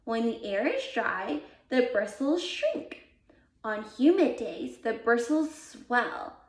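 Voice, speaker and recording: A woman reading a children's picture book aloud as a volunteer, with deliberate, expressive emphasis for young listeners. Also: on the high side. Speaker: volunteer read-aloud reader. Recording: roomy, echoey sound.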